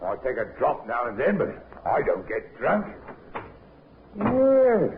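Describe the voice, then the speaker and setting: An actor playing a suspicious, grumbling innkeeper, blunt and colloquial, in a 1950s radio drama. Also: male voice, fairly high pitch, wildly swooping pitch, slow pace, heavily acted. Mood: genial, defensive. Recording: muffled, hissy old radio recording.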